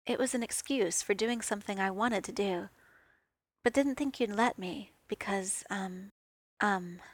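A woman reading fan fiction aloud, voicing an earnest, kind male soldier with a soft, hesitant delivery. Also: mid-range voice, measured pace, lightly acted. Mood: shy.

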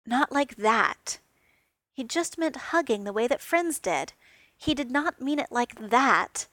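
A woman reading fan fiction aloud, voicing a brash, witty male inventor with quick, theatrical, teasing lines that climb higher and louder. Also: animated, dry recording, exaggerated voice. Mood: flustered.

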